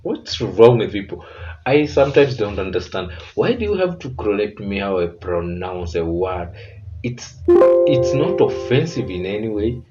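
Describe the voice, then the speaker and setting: A man's voice: lowish voice, big pitch swings, a little slow, lo-fi sound, over music. A Kenyan man hosting a reaction video, earnest and reflective as he comments.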